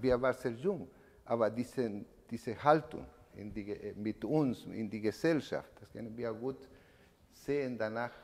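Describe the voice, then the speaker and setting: An evening of remembrance in an echoing hall: at the lectern, a low-voiced man in his sixties, native Spanish speaker with a Chilean accent, haltingly tells his own story. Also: a little mellow. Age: 60s. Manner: halting, searching for words in non-native speech. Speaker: eyewitness speaker giving a testimony. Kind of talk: personal testimony talk to an audience. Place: indoor event room, standing at a lectern. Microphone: microphone on a stand. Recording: reverberant hall.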